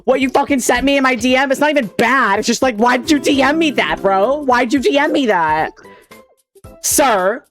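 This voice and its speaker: Lively giggly young man streamer. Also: extremely high pitch, normal speed, soft background music. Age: 20s. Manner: animated, giggly, teasing. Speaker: streamer host. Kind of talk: casual stream chat.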